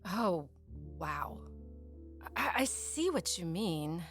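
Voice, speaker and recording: A woman's voice: lowish voice, very animated, extremely slow, a bit bright, faint music behind, full character voice. A woman acting in an audio drama as a cautious wife, tentative and polite as she resists pressure. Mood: wavering.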